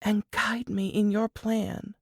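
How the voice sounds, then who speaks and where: A woman's voice: mid-range voice, level tone, slow pace, creaky, darkish tone, slightly rough, no echo, studio quality, voice pushed up, exaggerated voice. A woman voicing a frightened but defiant young mountain woman in a story reading, guarded and urgent. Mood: hushed pleading.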